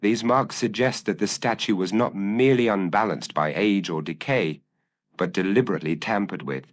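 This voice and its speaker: A man playing a brilliant, impassive detective in a gothic audio mystery, calm, probing and precise. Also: fairly low pitch, normal speed, no room sound, lightly acted. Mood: analytical.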